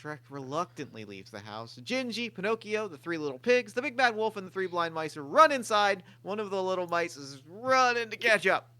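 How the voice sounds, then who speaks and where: A man's voice: sits high, very animated, moderate pace, few pauses, pushed and bright. A man reading stage directions aloud at a table read in a plain, matter-of-fact way.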